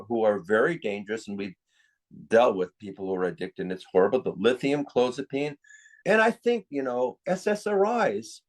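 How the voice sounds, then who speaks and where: A man speaking as a psychiatrist and interview guest, candid and opinionated, stressing key words as he talks conversationally. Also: medium pitch.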